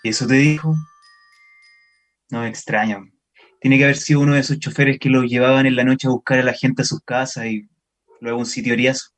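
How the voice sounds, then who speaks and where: A man plays a wary Chilean boyfriend in a radio drama, a little unsure of himself. His voice is medium in pitch. He talks colloquially, as if in everyday conversation. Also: fairly even intonation, a little fast, pauses often, natural voice. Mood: distrustful, warning. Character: wary, distrustful local boyfriend named Manuel, insecure in love. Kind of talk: naturalistic radio drama dialogue.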